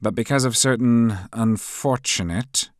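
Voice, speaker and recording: A man voicing an excitable, flustered male professor who shouts his lines. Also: mid-range voice, measured pace, no room sound, clean studio sound, voice pushed up, close to an everyday voice.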